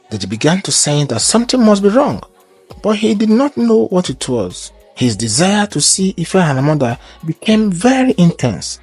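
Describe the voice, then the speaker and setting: A man narrating a scripted folktale in a measured, formal storytelling way. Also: fairly high pitch, slightly rough.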